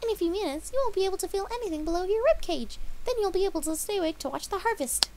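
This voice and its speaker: A woman voicing a cheerful but cruel young female pink pony, with a high, sing-song, scolding manner. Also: animated, racing, background noise. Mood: sweetly menacing.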